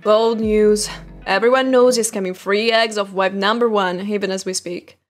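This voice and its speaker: A woman's voice: medium pitch, fairly even intonation, normal speed, close to an everyday voice. A woman playing a brash, foul-mouthed fairy-tale princess, sardonic and blunt, in a comic dub. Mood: sardonic, gossipy. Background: soft music.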